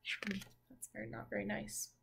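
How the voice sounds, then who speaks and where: A woman in her twenties, a teacher reading a picture book aloud and asking children simple questions with pauses for answers. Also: medium pitch, little pitch movement, gravelly, fairly bright tone, a little hiss or hum. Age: 20s. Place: small room at home, indoors.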